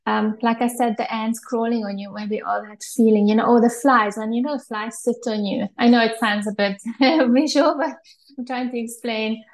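A woman's voice: a little high, steady tone, normal speed. A woman in her forties from Hungary, a guest in a video-call interview, talking in a chatty way with many fillers and restarts. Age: 40s. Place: bright home room with shelves.

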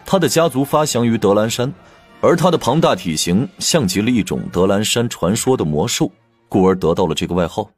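A man's voice: lowish voice, expressive, a little fast, faint music behind, pitched above the performer's own voice. A man voicing a gossipy, knowing young prince in an audiobook, chatty and emphatic. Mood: amused, impressed. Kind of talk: audiobook character dialogue.

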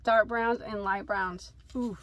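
A woman's voice: mid-range voice, steady tone, takes it slowly, fairly bright tone, dry recording, muffled recording. A woman in her thirties from Illinois, hosting a casual yarn haul chat in a craft room, enthusiastic and laughing at herself. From Illinois, USA. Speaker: crochet channel host.

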